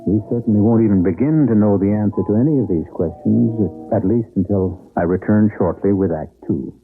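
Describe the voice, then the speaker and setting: A man narrating a radio mystery drama as host, in a grave, measured, deliberate scripted style. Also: fairly low pitch, phone-quality sound, soft background music, lightly acted. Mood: grave, suspenseful. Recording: thin, band-limited old radio broadcast.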